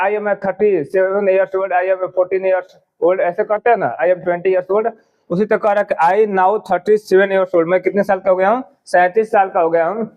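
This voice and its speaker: A man's voice: sits high, muffled recording. A man teaching a class, explaining a poem line by line and often asking whether the students understood. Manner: repetitive questioning, drawn-out English words.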